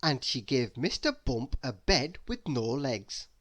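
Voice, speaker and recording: Animated children's storybook reader. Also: medium pitch, a little slow. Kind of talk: children's read-aloud narration.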